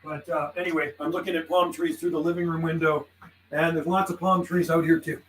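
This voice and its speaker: High-pitched, easygoing man. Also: little pitch movement, fairly quick, a bit bright, slight background noise, low-quality recording. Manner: easygoing, friendly, says "man" and "buddy" often. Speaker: live streamer and CB radio operator. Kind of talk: casual chat and radio calls on a live stream. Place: radio room inside his house.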